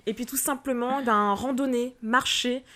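A woman co-hosting a podcast in casual chat, hesitant and filling pauses often. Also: a little high, steady tone, fairly bright tone. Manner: hesitant, many "euh" fillers. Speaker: podcast co-host.

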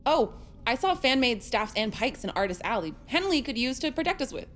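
High-pitched, earnest teenage fangirl. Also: very animated, brisk, a bit bright. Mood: starstruck. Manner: gushing, earnest. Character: starstruck teenage fangirl, earnest, gushing, quick to snap. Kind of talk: audio drama comedy acting.

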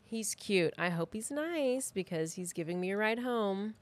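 A woman podcast host tells a story casually, as if chatting with a friend. Her voice sits at an average pitch, and she is informal and blunt, recorded close and dry. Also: lively intonation, unhurried, no room sound. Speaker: true crime podcast host.

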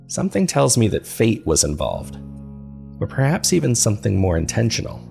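Low, creaky paranormal podcast host. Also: male voice, huge pitch swings, moderate pace, mellow, soft background music, exaggerated voice. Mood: earnest, wary. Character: male paranormal podcast host, earnest, uneasy investigator. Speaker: podcast host and narrator of a fictional horror documentary. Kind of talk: scripted documentary-style narration, confiding recap.

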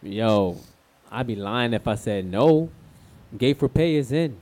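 An actor playing a laid-back, flirty male guest on a radio show in a radio play, terse, casual and halting. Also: mid-range voice, animated, darkish tone, natural voice. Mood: guarded, casual. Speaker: studio guest.

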